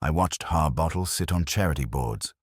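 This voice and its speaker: Man reading as composed, brittle wronged woman, husky-voiced. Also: rumbling low, animated, moderate pace, dry recording, clean studio sound, full character voice. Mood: composed, bitter. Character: wronged female clerk, composed but brittle, confessing on tape.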